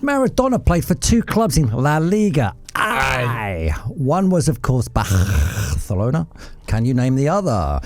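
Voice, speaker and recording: A man's voice: medium pitch, huge pitch swings, measured pace. A man hosting a lighthearted football quiz with banter, in a playful, mock-officious manner. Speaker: quiz show host.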